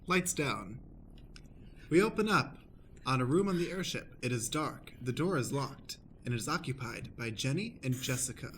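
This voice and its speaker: A man's voice: medium pitch, expressive, moderate pace, fairly bright tone, a little hiss or hum. A man narrating an improvised radio play and reading stage directions in a presentational, announcer-like manner.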